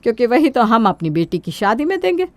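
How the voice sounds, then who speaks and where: A woman's voice: on the high side, big pitch swings, a little fast, dry recording. A woman voicing a greedy, sly middle-aged mother-in-law who feigns modesty in a sugary, wheedling way for a Hindi cartoon story. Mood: scheming, brisk.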